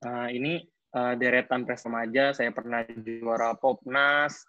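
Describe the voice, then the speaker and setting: Hesitant young man, flat dull sound. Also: mid-range voice, normal speed, no room sound. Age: 20s. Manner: hesitant, with frequent fillers and restarts. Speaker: athlete speaking at a coaching clinic. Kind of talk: casual personal account.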